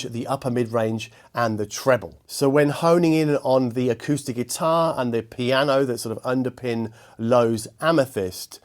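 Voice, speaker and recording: A man with a mid-pitched voice presents an equipment review. He speaks in a measured, articulate way and chooses his words with precision, addressing viewers as the host. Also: darkish tone. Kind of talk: spoken product review to viewers.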